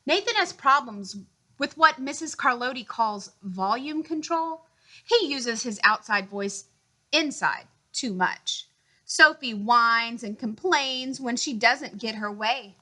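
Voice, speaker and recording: Bright-voiced woman reading a storybook. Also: fairly high pitch, lively intonation, takes it slowly. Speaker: storyteller reading a picture book aloud. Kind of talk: expressive children's read-aloud.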